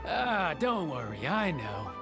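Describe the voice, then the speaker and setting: An old village carpenter in a video game, genial and plain-spoken. He takes his time and lets his pitch wander in a lively way, with music clearly playing behind him. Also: heavily acted. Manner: hesitant chuckling, unhurried. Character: friendly old village carpenter, weary and direct.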